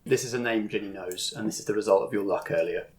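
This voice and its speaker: A man's voice: on the low side, fast, a little mellow. A man narrating a tabletop roleplaying game as game master, explaining things in an informal way.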